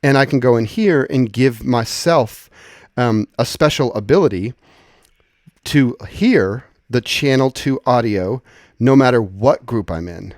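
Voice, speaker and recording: A man with a medium-pitched voice walks through software in an informal, conversational way. He explains things as a tutorial presenter would, thinking aloud. The sound is dry, with very little room in it. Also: measured pace, no echo.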